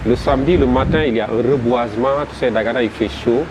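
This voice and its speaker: A man with a Senegalese French accent, a festival promoter describing his event in an interview, halting and repeating words as he goes. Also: mid-range voice. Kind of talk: interview answers. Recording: noisy background.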